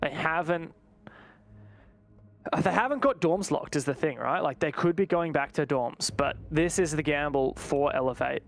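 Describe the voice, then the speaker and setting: A man's voice: on the high side, normal speed, gravelly. A man commentating live on an esports match, mixing play-by-play with analysis.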